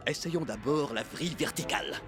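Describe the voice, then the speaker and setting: A man's voice: a little high, brisk, lightly acted. An actor voicing an arrogant male sea creature in a French children's cartoon, haughty and taunting. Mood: smug challenge. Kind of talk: children's cartoon dub acting. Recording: loud music under the voice.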